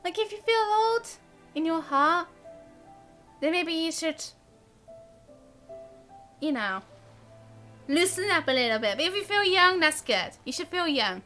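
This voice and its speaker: A woman's voice: extremely high pitch, animated, measured pace, pauses often, pushed and bright. A young woman chatting casually on a live game stream in a rambling, giggly way. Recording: game music faintly behind.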